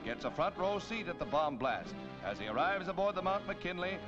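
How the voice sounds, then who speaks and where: A dull, band-limited vintage newsreel recording with loud music under the voice. An American man narrates in a high-pitched, declamatory voice. His delivery is scripted and dramatic, in the 1940s newsreel style. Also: very animated, moderate pace.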